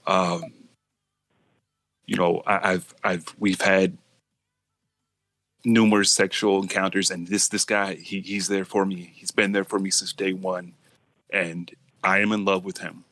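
A low, halting young man stammers and restarts his sentences, as a prank caller puts him on. The sound is close and dry, with no room at all. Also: flat delivery, a bit bright, dry recording. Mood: hesitant. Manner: halting, stammering, repeats words. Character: nervous young man coming out to family.

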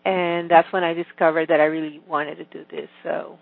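A woman's voice: deep voice, level tone, normal speed, vocal fry. A middle-aged woman from Venezuela, a Spanish speaker, chatting as an author guest in a phone interview and restarting often. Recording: tinny phone line.

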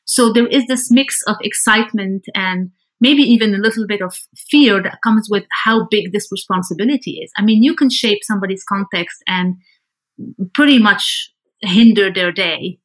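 A woman's voice: medium pitch, normal speed, fairly bright tone, rough recording. A woman from Lebanon speaking as an architect guest in an interview, thoughtful and conversational, feeling out her phrasing as she goes.

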